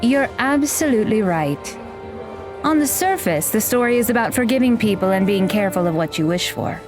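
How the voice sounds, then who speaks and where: An actress playing a blunt, aloof young magical mentor who talks in a dry, deadpan way in an audio drama. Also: female voice, medium pitch, moderate pace, close to an everyday voice. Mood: instructive. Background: background music.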